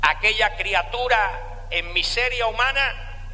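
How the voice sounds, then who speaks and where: A man with a Cuban accent giving a slow, deliberate sermon testimony with dramatic pauses. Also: high-pitched, bright, forceful tone, in a large hall. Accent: Cuban Spanish. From Cuba.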